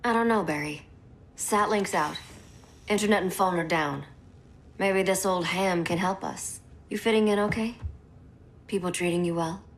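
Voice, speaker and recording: An actress playing a calm female doctor and steady mentor in a video game cutscene, reassuring but firm. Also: fairly low pitch, moderate pace, pauses often, lightly acted. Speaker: scientist at a base.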